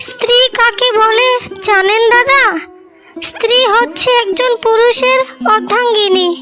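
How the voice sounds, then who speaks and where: A woman playing an earnest young sister-in-law in a cartoon melodrama, pleading and admonishing deliberately over her sister's behalf. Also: very high voice. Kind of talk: slow melodramatic cartoon dialogue. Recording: music under the voice.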